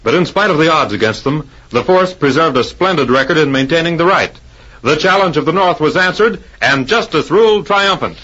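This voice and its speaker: A man's voice: a little high, expressive, brisk, bright, forceful tone. A man narrating an old adventure serial in a dramatic, scripted 1940s radio announcer style. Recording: dull old radio recording.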